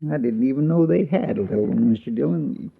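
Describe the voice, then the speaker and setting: An actor playing a talkative, simple-hearted frontier sidekick with a rural Western drawl and rambling, deferential homespun manner, in 1950s radio western style. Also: male voice, medium pitch, expressive, a little fast, creaky, slightly rough, full character voice. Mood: shocked, sorrowful. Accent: rural Western American drawl. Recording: muffled, noisy vintage radio recording.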